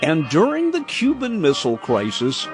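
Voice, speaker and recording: An older American man reading a scripted, nostalgic personal commentary in a deliberate, expressive storytelling manner. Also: fairly high pitch, music in the background. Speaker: radio commentator.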